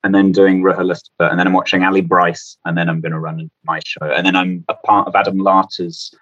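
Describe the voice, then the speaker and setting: A man's voice: sits low, flat delivery, normal speed, a bit bright, no echo, highs cut off. A man chatting casually as a comedian guest, rambling through anecdotes in a self-deprecating way.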